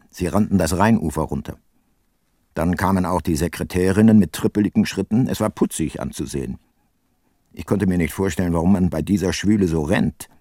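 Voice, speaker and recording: A man's voice: low voice, a little mellow, dry recording. A man acting as an older detective who narrates his own case in a dry, ironic way in a radio play. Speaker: first-person narrator.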